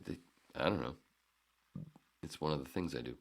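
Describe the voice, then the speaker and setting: A man's voice: rumbling low, moderate pace, husky, heavily acted. A man voicing a teasing, sing-song male heckler who mocks him in exaggerated tones.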